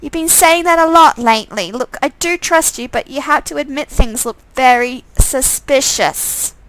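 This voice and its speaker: Woman playing a bright-voiced, suspicious young heroine. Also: high-pitched, moderate pace, no echo, rough recording. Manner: questioning, frank. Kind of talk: acted visual novel dialogue.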